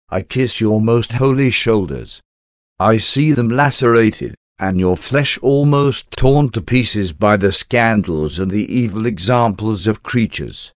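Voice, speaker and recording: A man with a fairly low voice reads a devotional prayer aloud. His delivery is earnest, pleading and measured, with steady reverence. The sound is dry and close, with no room echo. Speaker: devotional reader.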